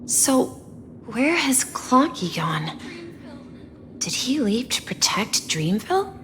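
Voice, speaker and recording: A woman's voice: a little high, very animated, slow, fairly bright tone, a little hiss or hum, exaggerated voice. A woman voicing an earnest, wistful young boy who dreams of adventure, in a video game. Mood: puzzled. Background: background music.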